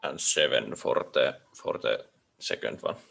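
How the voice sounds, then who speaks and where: A man with a very deep, gravelly voice reads out notes on a video call. He sounds tentative, and his tone is a little husky. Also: unhurried, fairly bright tone. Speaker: student in a group meeting. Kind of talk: casual online meeting.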